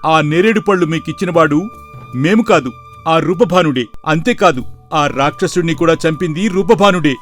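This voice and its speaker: Rebellious soldier, played by a man, higher-pitched, earnest protest, cartoon folk tale, faint music bed. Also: normal speed, close to an everyday voice. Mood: indignant. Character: bold rebellious soldier reproaching and counselling his king. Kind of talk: storybook cartoon character voice.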